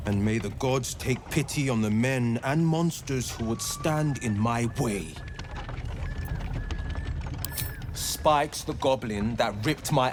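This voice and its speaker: An actor playing a vengeful, brooding young heroic monster hunter with grandiose, theatrical delivery in a video game. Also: male voice, mid-range voice, background noise, natural voice. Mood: grim resolve. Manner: theatrical, declamatory. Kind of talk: dramatic video game dialogue acting. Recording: loud music bed behind the voice.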